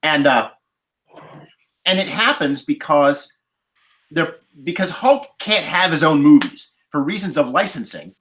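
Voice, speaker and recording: A man talks as a panelist on a casual podcast roundtable. His pitch moves up and down a great deal as he digresses through his explanations. He is getting over a cold. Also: sing-song, measured pace, a bit bright. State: recovering from a cold, occasional cough. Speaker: podcast panelist discussing a film.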